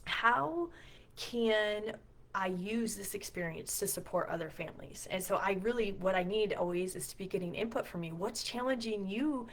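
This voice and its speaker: A woman's voice: medium pitch, normal speed. A middle-aged woman with a scratchy voice talking casually and earnestly straight to her viewers, often putting questions to them. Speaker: homeschool community founder and co-leader. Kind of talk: casual direct-to-camera vlog talk.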